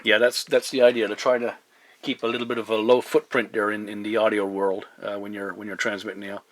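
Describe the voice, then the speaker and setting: A middle-aged man chatting as a radio operator, courteous and measured, with hesitant phrasing and operator jargon. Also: fairly low pitch, level tone, a little hiss or hum. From Newfoundland, Canada. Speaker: amateur CB radio operator hosting a video contact.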